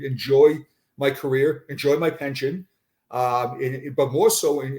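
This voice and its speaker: A man's voice: mid-range voice, darkish tone. An older man with a Boston accent, a retired police sergeant talking as a guest in an unscripted interview, earnest and often repeating and restarting his words. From Boston area, USA.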